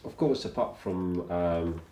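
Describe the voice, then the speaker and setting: A man with a very deep, soft-edged voice puts interview questions haltingly, searching for his words. He is in an echoey room. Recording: echoey room with faint noise.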